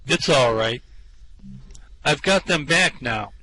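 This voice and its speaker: A man plays a hapless, bewildered castaway in an amateur radio comedy. He speaks slowly in a bright, slightly high voice, sounding bemused and mildly put out. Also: expressive, a little hiss or hum, exaggerated voice, low-quality recording. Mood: bemused, dazed.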